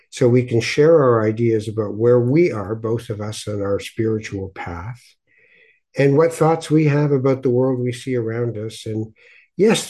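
A man hosts a podcast in a mellow, slightly rough-edged voice. He speaks conversationally and reflectively, repeating words as he gathers his thoughts. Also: medium pitch. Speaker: podcast host. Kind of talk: conversational interview with reflective introduction.

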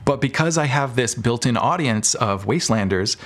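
American man, mid-range pitch, podcast guest, casual conversational storytelling, informal phrasing. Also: lively intonation, moderate pace, a bit bright. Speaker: podcast guest, audio drama producer. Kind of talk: casual interview chat.

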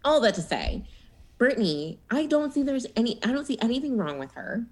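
A woman co-hosting a podcast in casual chat, animated and giggly, with lively storytelling asides. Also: on the high side, fairly quick. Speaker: podcast co-host.

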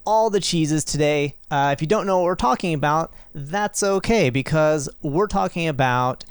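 A man hosting a casual gaming podcast, upbeat and playful, rambling quickly through asides. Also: a little high, clear, resonant tone, no echo. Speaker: podcast host.

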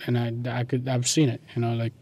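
A man's voice: mid-range voice, completely flat delivery, fairly quick, a little mellow. A young man from Guatemala speaking informally and with slang as he answers questions in a casual interview, leaning often on filler phrases. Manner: informal, slangy, peppered with "like" and "you know".

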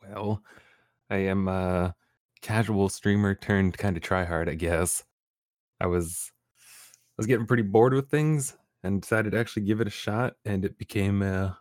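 A man's voice: deep voice, fairly even intonation, normal speed, a little mellow, no echo, clean studio sound. A young man, a streamer guest on a podcast, talking casually with easy laughs and rambling restarts. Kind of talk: casual interview chat.

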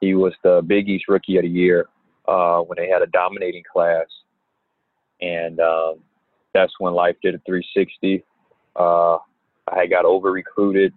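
A young man and basketball player from Indiana who answers interview questions in an unhurried way, with many pauses. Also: low-pitched, flat delivery, dry recording. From Gary, Indiana. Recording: tinny phone-like line.

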